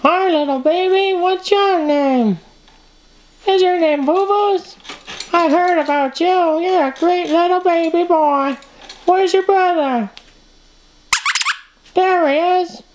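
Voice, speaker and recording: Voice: very high voice, takes it slowly, pauses often, slight background noise, rough recording. A performer voicing a friendly little puppet creature for toddlers, babyish and cheerful in a sing-song manner, with music playing. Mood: playful, curious. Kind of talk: improvised puppet show for toddlers.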